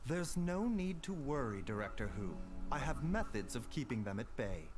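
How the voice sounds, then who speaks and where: An actor playing a composed, polite, quietly sly doctor in a video game, speaking in formal, measured phrases over music. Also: male voice, medium pitch, close to an everyday voice.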